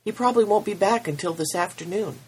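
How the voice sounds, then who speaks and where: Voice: medium pitch, quick pace, darkish tone, husky, voice pushed up, close to an everyday voice. Narrator doing a breathless old farmer. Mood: urgent, kindly. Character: older male farmer, kindly, out of breath.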